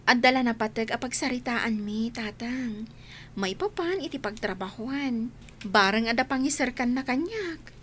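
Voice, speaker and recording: High-pitched young woman, earnest, reassuring, audio drama acted by a woman, faint background noise. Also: animated, normal speed, fairly bright tone. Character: earnest young woman seeking work, reassuring her father. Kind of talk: scripted radio-style drama in Ilocano.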